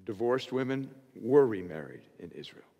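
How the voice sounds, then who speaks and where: Earnest older pastor preaching in a reverberant church. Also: male voice, big pitch swings, normal speed, vocal fry, mellow, dull audio. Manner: earnest preaching, rising in pitch and volume on key points. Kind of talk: expository sermon. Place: church stage behind a pulpit. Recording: long hall reverb of a church sanctuary.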